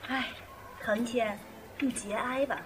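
A woman's voice: a little high, steady tone, slow, over music. A woman playing a wry, chiding teenage schoolgirl in a radio drama. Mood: wry sympathy.

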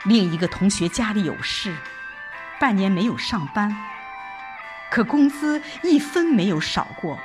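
A woman's voice: medium pitch, animated, measured pace, music in the background. A woman giving an emotive, dramatic first-person narration of a scripted story, like an audiobook reader. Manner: emotive, theatrical delivery.

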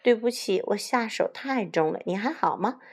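Lady doing a plucky young storybook chicken, earnest, bit creaky. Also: medium pitch, expressive, moderate pace, a little mellow.

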